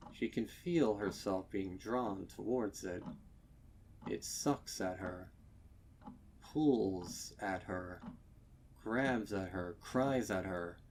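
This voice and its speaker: Guy reading a creepy story real slow, kinda low voice, dramatic pauses, bit of background noise. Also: a little mellow. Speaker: fan fiction narrator. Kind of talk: dramatic scripted horror narration.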